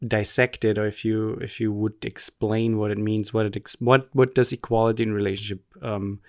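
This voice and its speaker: A man's voice: lowish voice, little pitch movement, moderate pace, a little mellow, no echo, clean studio sound. Rambling, joking male podcast co-host. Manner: rambling, self-mocking, joking. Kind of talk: casual chat.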